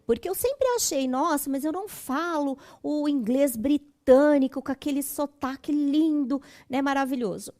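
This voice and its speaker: A woman's voice: high voice, moderate pace, hardly any pauses, warm tone. A woman, teaching a school English lesson conversationally and often checking in with tag questions. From Brazil.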